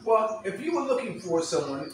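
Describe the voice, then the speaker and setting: A man hosts a playful, teasing interview, often halting on his fillers. His voice sits high for a man. He is recorded from a distance in a very echoey room. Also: big pitch swings, a little hiss or hum. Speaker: host. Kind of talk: casual mock late-night interview. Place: room with a fan running.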